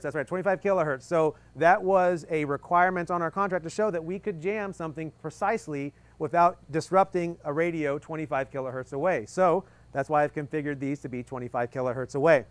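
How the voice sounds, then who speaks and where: A man with a somewhat high voice gives a live field demonstration. He explains each step casually, with frequent ums and light jokes. He is speaking outdoors under a tent. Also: quick pace, no echo. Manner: casual, joking, explains step by step with many fillers. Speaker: presenter at a live equipment demonstration. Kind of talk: live technical demo talk.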